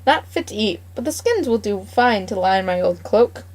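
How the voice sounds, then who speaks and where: A woman voicing a sharp-tongued old farmer's wife who scolds her husband. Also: lively intonation, moderate pace, close to an everyday voice. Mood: dismissive. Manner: sharp and scolding, shrill high voice.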